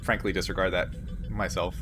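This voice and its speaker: A man's voice: sits low, flat delivery, brisk, music in the background. A man acting as game master, narrating and explaining a tabletop game in an informal way.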